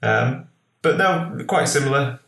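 A man's voice: medium pitch, animated, unhurried, gravelly, sharp tone, roomy sound. A young man from Lancashire, answering interview questions in an easygoing way with lots of fillers. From Lancashire, northwest England. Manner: easygoing, filler-heavy, repeats words. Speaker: guest photographer.

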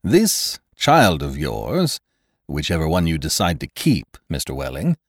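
A man's voice: rumbling low, huge pitch swings, a little slow, no echo, clean studio sound, exaggerated voice. A man voicing a pompous, exuberant elderly doctor in a storybook reading, with an emphatic, lecturing, oratorical manner. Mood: rhapsodic. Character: pompous, exuberant elderly male doctor preaching utopian order.

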